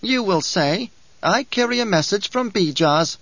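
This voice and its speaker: A man's voice: high voice, lively intonation, measured pace, creaky, a bit bright, no room sound, dull audio, pitched above the performer's own voice, heavily acted. A man reading an audiobook, voicing a whining, spiteful male dwarf who taunts and giggles. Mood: mocking.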